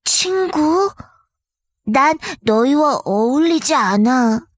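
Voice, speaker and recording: A male voice actor doing a small, lonely, timid ugly duckling in a high, sweet childlike voice for a children's storybook. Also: lively intonation, very slow, no room sound, heavily acted. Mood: shy.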